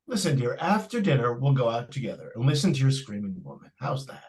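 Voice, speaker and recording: A man's voice: mid-range voice, big pitch swings, quick pace, darkish tone, close to an everyday voice. A man playing an indulgent but firm father in old-time radio drama, patronizing and a little stammering. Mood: indulgent, amused. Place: live stage radio-play performance.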